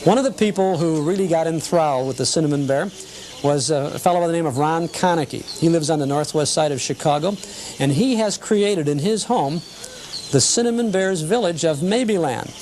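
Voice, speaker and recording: A man's voice: a little high, moderate pace, a little mellow, a little hiss or hum. A man hosting a nostalgia TV show in an informal, folksy, chatty way with hesitant phrasing. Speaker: host.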